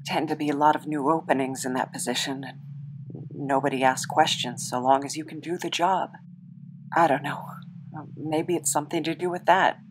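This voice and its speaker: A woman's voice: low voice, fairly even intonation, moderate pace, noisy background, lightly acted. A woman playing a guarded, self-doubting young soldier with a hesitant, understated way of talking in an audio drama. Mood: wry, subdued.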